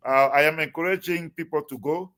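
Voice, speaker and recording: Halting man with many fillers. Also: a little high, pushed and bright. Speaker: guest. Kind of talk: casual interview talk.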